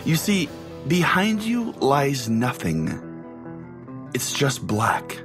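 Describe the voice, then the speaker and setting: A man's voice: mid-range voice, sing-song, extremely slow, over music. A man hosting a podcast, telling a scripted comic story in a mock-solemn, profane way. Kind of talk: comic first-person scripted narration.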